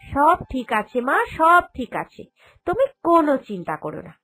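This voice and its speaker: A woman acts out a sensible young woman in a family cartoon. She speaks in a high voice with an earnest, reassuring manner. Quiet music sits underneath. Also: sing-song, moderate pace. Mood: reassuring, explaining. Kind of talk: Bengali cartoon drama dubbing.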